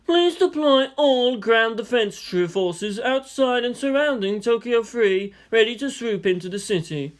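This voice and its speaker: A man voicing a polite, self-effacing male military strategist in a story, speaking in an understated, measured way. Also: very high voice, animated, pitched above the performer's own voice. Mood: polite, requesting.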